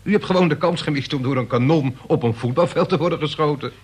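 A man's voice: a little high, quick pace, husky. An actor playing a young son who listens in naive astonishment to his mother in a radio play. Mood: wry, consoling.

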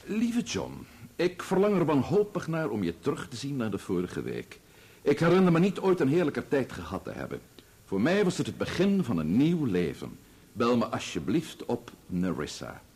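An actor playing a formal, grave and indignant barrister in a radio drama, speaking in pompous, oratorical courtroom diction. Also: male voice, medium pitch, huge pitch swings, moderate pace, creaky, a little mellow, breathy edge, heavily acted. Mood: formal, measured.

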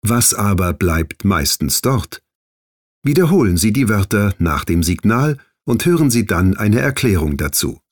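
A man's voice: lowish voice, sing-song, measured pace, dry recording, clean studio sound. A man reading scripted narration and exercise instructions for learners in a formal, instructive tone.

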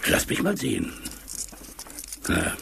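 An actor playing a deliberate older professor in a radio mystery, husky and gravelly, pondering each word. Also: male voice, background noise, lightly acted. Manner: slow, pondering. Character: deliberate older professor decoding secret messages.

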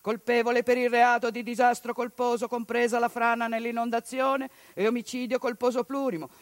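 A woman's voice: on the high side, level tone, fairly quick, no room sound. Woman in a bitterly ironic stage monologue. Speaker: stage performer. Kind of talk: theatre monologue on radio.

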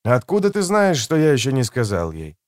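A man's voice: medium pitch, huge pitch swings, a little fast, dry recording, studio quality. A man voicing a weary, guilt-ridden older man in an audiobook, reserved, terse and grave. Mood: grim, subdued. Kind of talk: audiobook character dialogue.

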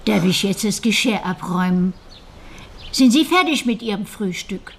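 An actress playing a deferential older housekeeper in a radio crime drama, fussy, solicitous and formal in an old-fashioned way. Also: female voice, medium pitch, animated, normal speed, vocal fry, a little hiss or hum.

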